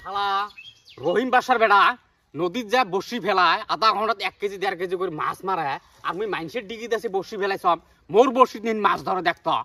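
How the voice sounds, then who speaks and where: Cantankerous village elder, acted by a man, northern Bengali accent, high-voiced and quick, blustering comedy scold. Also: bright, forceful tone, no room sound. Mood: grumbling. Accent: northern Bengali regional. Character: cantankerous village elder uncle caught fishing in another's pond. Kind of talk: amateur comedy skit acting. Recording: clearly noisy amateur video sound.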